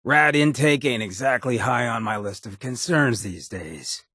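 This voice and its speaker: An actor playing a swaggering, sardonic ghoul gangster companion in a video game, with a gravelly rasp and a wry, streetwise drawl. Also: male voice, mid-range voice, animated, measured pace, sharp tone, no echo, clean studio sound, heavily acted. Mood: wry, casual. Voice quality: gravelly, raspy.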